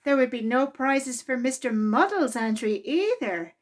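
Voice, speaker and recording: Lady reading a picture book to little kids, high voice, really slow and expressive storytime style. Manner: deliberate, expressive reading aimed at young children. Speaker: library storytime reader. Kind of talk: children's storybook narration.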